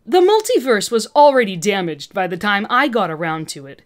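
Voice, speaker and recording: A woman's voice: medium pitch, sing-song, a little fast, lightly acted. A woman voicing an arrogant, agitated woman scientist villain with an intense, condescending manner in a fan fiction reading. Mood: smug explaining.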